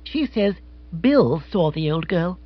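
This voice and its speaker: A man voicing an excitable, breezy upper-class English lady in a storybook, emphatic and slangy. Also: high voice, slow pace, dry recording, dull audio, heavily acted. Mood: breezy, curious.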